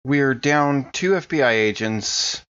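A man playing a tabletop role-playing game, improvising casually and haltingly. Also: mid-range voice, a bit bright, clear, resonant tone, muffled recording. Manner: hesitant, halting. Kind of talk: improvised casual in-character play.

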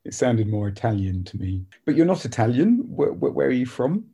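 A man's voice: medium pitch, sing-song, fairly quick, warm tone. A man hosting a church podcast, asking a guest questions in an earnest, encouraging way. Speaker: podcast host interviewing a church member.

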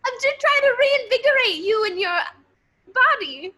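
Rambunctious lady detective, played by a woman: very high, bright voice, eager and insistent. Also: lively intonation, moderate pace, exaggerated voice. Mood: earnest, eager. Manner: eager, insistent, over-earnest. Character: rambunctious earnest woman amateur detective obsessed with kicking. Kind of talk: improvised radio-play parody.

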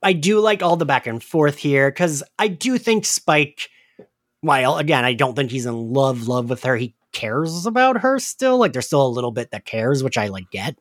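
A man's voice: mid-range voice, animated, normal speed, no room sound. Podcast guy talking casually, pretty emphatic, really dry close sound. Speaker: podcast host. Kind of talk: casual discussion.

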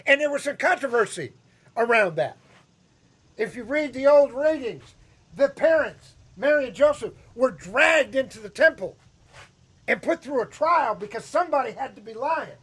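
A man's voice: very high-pitched, expressive, normal speed, fairly bright tone, breathy edge. A man from Chicago with a Chicago accent, preaching a sermon as pastor in a conversational, teasing way and asking the congregation questions. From Chicago, Midwest US. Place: church sanctuary.

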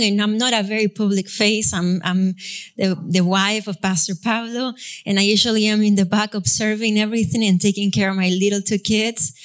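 A woman's voice: medium pitch, clear, resonant tone. A young adult woman pastor from Argentina with an Argentine Spanish accent, Spanish her first language, leading prayer and then preaching in a chatty, self-deprecating way with frequent laughs. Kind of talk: sermon with congregational prayer. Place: church sanctuary.

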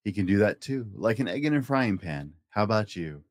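A man with a fairly low voice reads a children's storybook aloud. His delivery is playful and rhyming, with very lively, swinging intonation. The sound is dry and close. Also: a little fast, dry recording. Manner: playful, acts out animal and object sound effects. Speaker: children's storybook reader and host. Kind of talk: expressive read-aloud of a rhyming picture book.

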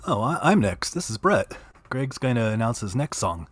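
A man's voice: medium pitch, big pitch swings, moderate pace, breathy edge, no room sound. Easygoing man co-hosting radio. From Southern California. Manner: easygoing, hesitant. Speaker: radio co-host. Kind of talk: casual radio chat.

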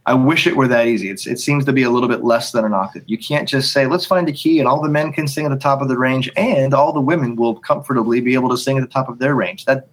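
A man's voice: mid-range voice, quick pace, hardly any pauses, poor audio. A man who writes and leads worship songs, talking in a podcast conversation, thinking aloud with frequent restarts and humming bits of melody. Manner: thinks aloud with many restarts, sings snippets to illustrate. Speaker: worship songwriter and leader.

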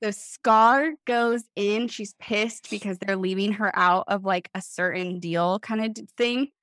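A woman author in casual podcast chat, telling things in an animated way with lots of "like" and acted-out quotes. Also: mid-range voice, a little slow, smooth, no room sound. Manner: animated storytelling, acts out imagined quotes, heavy use of "like". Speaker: author guest on a book podcast.

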